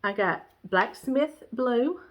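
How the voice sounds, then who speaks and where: A woman's voice: fairly high pitch, animated, slow. A woman with a stuffy, nasal voice, chatting casually about her cross-stitch supplies in a rambling way, with lots of "um"s and restarts. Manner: rambling, warm, many fillers and restarts. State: stuffy, congested nose. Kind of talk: casual show-and-tell chat.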